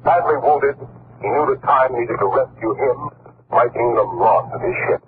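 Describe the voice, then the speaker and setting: A man's voice: medium pitch, moderate pace. A man announcing on 1940s radio in a dramatic, solemn, emphatic scripted narration. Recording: scratchy muffled 1940s radio recording.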